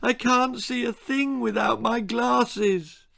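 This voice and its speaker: A man's voice: extremely high pitch, steady tone, slow pace, gravelly, bright, forceful tone, pitched above the performer's own voice, exaggerated voice. A man voicing a bullied, timid schoolboy in a children's storybook, wailing and desperate. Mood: tearful pleading. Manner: wailing, pleading.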